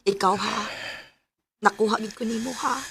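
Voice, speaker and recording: A young Bisaya woman in a radio love drama. Her voice sits high and has a sharp, bright edge. She speaks with a Philippine Bisaya accent, as a student caught between two men. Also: steady tone, moderate pace, slight background noise. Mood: pleading.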